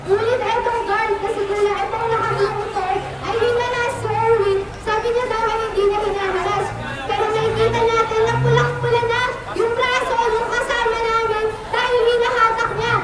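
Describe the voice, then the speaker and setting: A woman's voice: extremely high pitch, level tone, moderate pace, long pauses. A young woman with a Filipino accent giving an indignant, projected protest speech as a student rally speaker, full of rhetorical questions. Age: young adult. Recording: echoey, distant, loud rally crowd.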